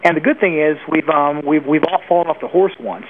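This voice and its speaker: A man's voice: on the high side, a little fast. A man giving informal, opinionated answers as an interview guest, speaking conversationally and with emphasis. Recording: narrow phone-like line with faint noise.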